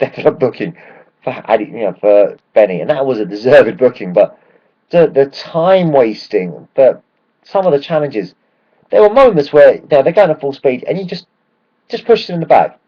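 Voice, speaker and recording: Hoarse excitable football fan. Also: male voice, medium pitch, dull audio. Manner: rambling, excitable, swears freely. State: hoarse from shouting. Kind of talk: casual match rant.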